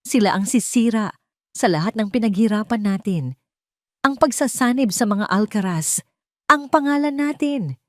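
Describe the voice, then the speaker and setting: A woman voicing a haughty, wealthy older mother in an audio drama, sharp, accusing and full of contempt. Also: fairly high pitch, expressive, moderate pace, no room sound, pitched above the performer's own voice, lightly acted. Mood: angry, pleading disbelief.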